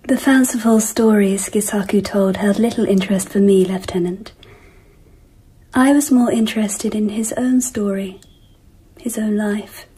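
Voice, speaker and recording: A woman in a radio drama playing a composed, curious Empress, with a husky, breathy voice and a measured, gently probing manner. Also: medium pitch, fairly even intonation, poor audio. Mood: cool curiosity.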